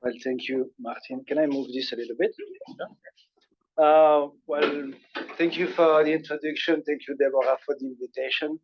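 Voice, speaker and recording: A man's voice: fairly high pitch, normal speed. A man from Belgium whose first language is French, giving an academic talk in a seminar room with a casual, hesitant delivery. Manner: relaxed conversational lecturing, frequent hesitations. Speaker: guest speaker. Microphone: passed microphone. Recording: dull lo-fi lecture recording.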